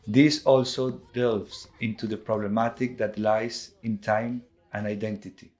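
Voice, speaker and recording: A man with a Colombian accent, a native Spanish speaker, giving a formal, measured scripted narration as an artist presenting projects. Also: lowish voice, level tone, a little mellow. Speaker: visual artist.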